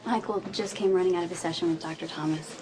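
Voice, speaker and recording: An actress playing a caring, candid aunt in a television soap drama, speaking in an earnest, gently persuasive way. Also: female voice, fairly low pitch, quick pace, close to an everyday voice. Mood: concerned. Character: caring, candid aunt urging a child toward help. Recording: clearly noisy background ambience.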